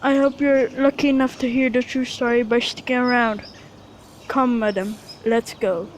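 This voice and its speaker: A man's voice: extremely high pitch, little pitch movement, moderate pace. A man playing a worried older father in an amateur radio drama, with birdsong behind him. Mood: hopeful, worried. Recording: faint noise with outdoor birdsong.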